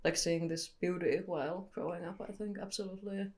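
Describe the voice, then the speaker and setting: A young woman from Finland, a photographer answering interview questions candidly and thoughtfully, often pausing to search for words. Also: fairly low pitch, steady tone, a little mellow. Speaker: photographer guest.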